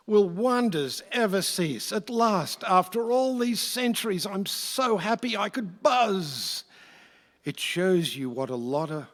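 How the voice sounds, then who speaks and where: An actor playing a humbled, grateful former Roman emperor in a radio play, speaking rhyme in a halting, polite, theatrical way. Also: male voice, high voice, expressive, a bit bright, heavily acted. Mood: wonderstruck, happy. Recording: echoey hall with long reverb.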